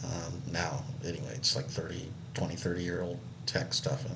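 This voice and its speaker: Man from Israel, very low voice, loose meandering storyteller, faint room ambience behind him. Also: normal speed, creaky, background noise. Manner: relaxed and meandering, many fillers and restarts. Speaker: accessibility analyst. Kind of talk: casual personal story.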